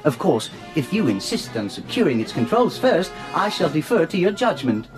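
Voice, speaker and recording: An actor playing a prissy, polite protocol droid in a radio drama, speaking in a formal, fussy, overly proper way. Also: male voice, fairly high pitch, big pitch swings, moderate pace, music in the background. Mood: officious.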